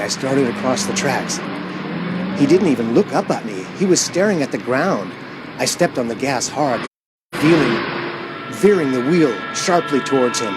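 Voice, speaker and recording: A man's voice: mid-range voice, noisy background, close to an everyday voice. A man playing an anxious, haunted driver who narrates his dread tensely and hesitantly in a radio play. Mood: panicked. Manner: tense, hesitant, dramatic repetition.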